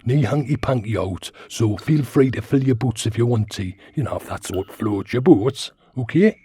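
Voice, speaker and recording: Comic creature role: chatty Geordie giant worm, dark gravel-husky voice, rambling and cheeky. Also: male voice, medium pitch, expressive, moderate pace, no echo. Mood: exasperated. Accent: Geordie, northeast England. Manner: rambling, blunt, colloquial. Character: cheeky, chatty twenty-foot talking lantern worm. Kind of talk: comic radio sitcom acting.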